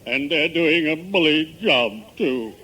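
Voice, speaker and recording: An actor playing a pompous, morbidly genial middle-aged undertaker with genteel, mock-solemn diction in an old-time radio sitcom. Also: male voice, on the high side, expressive, takes it slowly, bright, forceful tone, slightly rough, exaggerated voice. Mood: solemn, polite. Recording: noisy vintage 1950s radio broadcast.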